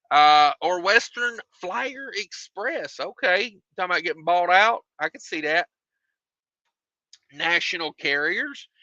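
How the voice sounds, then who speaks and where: A man hosting a trucking livestream, reading viewer comments in a relaxed, rambling way with many fillers and repeated phrases, his voice getting tired and hoarse. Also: high voice, no room sound, rough recording. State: losing his voice.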